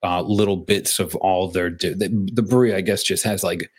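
A man's voice: sits low, fairly even intonation, a little fast. Chatty man hosting a podcast. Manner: chatty, rambling, with fillers and restarts. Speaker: podcast co-host. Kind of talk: casual beer podcast chat.

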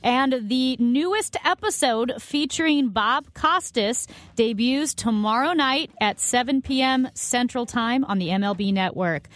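A woman hosting a radio show, asking measured questions and promoting the episode. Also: fairly high pitch, dry recording.

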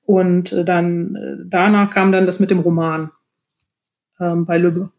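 A woman's voice: lowish voice, little pitch movement, a little slow, a little mellow. A woman speaking casually as an author guest in an interview, phrasing her thoughts hesitantly.

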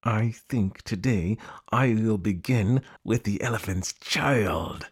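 A low, hushed storybook crocodile, played by a man, coaxes slyly. His manner is soft and unhurried, with sinister menace underneath. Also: slightly rough. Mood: quiet menace. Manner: quiet, coaxing, sinister. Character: sly, menacing crocodile luring his prey.

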